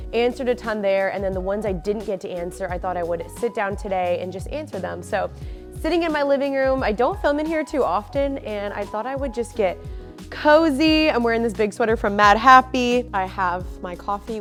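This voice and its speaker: A young woman talks to her viewers from her living room with a stuffy, sniffly nose. Her voice sits at a middle pitch for a woman, and she rambles along in an open, friendly way with plenty of fillers. Faint music plays softly behind her. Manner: chatty, open, lots of fillers. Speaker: faith and lifestyle vlogger. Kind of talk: casual chat to camera.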